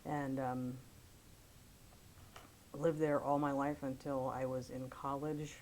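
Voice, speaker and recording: A woman in her fifties from Pennsylvania, an attorney, recounting her life story in an oral history interview with a measured, careful manner. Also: a bass voice, little pitch movement, darkish tone, slight background noise, rough recording.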